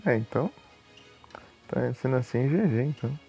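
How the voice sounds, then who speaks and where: A man's voice: medium pitch, expressive, normal speed, creaky, mellow, faint music behind. A man with a Brazilian accent giving casual, chatty live commentary as a video game streamer, thinking aloud informally.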